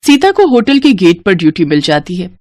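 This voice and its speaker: A woman's voice: fairly low pitch, very animated, moderate pace, a little mellow, no room sound. Woman narrating a story, dry close sound.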